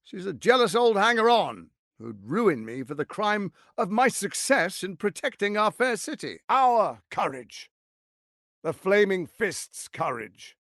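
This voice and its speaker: A man's voice: sits high, a bit bright, no echo, studio quality, exaggerated voice. A man voicing a suave, scheming, arrogant archduke in a fantasy video game, silky, grandiose and condescending in his theatrical delivery. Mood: cold, contemptuous, then grand, oratorical.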